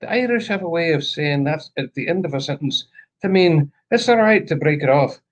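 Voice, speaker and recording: A man's voice: a little high, lively intonation, fairly quick, muffled recording. A man co-hosting a casual live-stream chat, telling jokes in a playful storyteller's manner.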